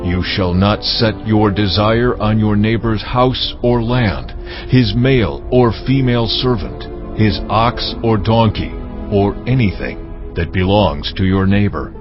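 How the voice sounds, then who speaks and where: An actor playing God, solemnly proclaiming commandments in a dramatized scripture reading. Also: male voice, lowish voice, unhurried, natural voice. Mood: solemn command. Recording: dull sound with music behind. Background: music.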